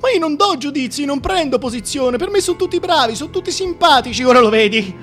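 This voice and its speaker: An actor voicing a meek, naive, pious duke who pleads and placates in a theatrical radio monologue. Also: male voice, very high-pitched, lively intonation, fast talker, a bit bright, soft background music, pitched above the performer's own voice. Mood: meek, placating. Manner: plaintive, placating.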